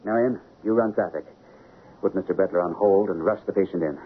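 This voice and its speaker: An actor playing an authoritative chief surgeon in an old radio drama, giving clipped commands and measured, formal explanations. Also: male voice, deep voice, lightly acted. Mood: brisk, resigned. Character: composed, authoritative male chief surgeon lecturing while operating. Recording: narrow, noisy old radio recording.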